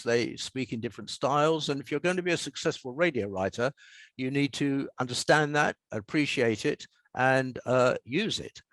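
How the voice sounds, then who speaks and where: A man's voice: medium pitch, no echo. Older English man, dry close recording. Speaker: author and radio scriptwriter being interviewed. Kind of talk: conversational anecdotes and explanation.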